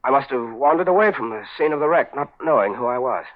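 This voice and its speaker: An actor playing a despairing, fatalistic amnesiac thief in the dramatic style of 1940s radio. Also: male voice, medium pitch, animated, fast talker, close to an everyday voice. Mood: haunted, regretful. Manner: bitter, fatalistic. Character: despairing amnesiac man turned thief, hunted and resigned. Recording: muffled, narrowband 1940s radio recording.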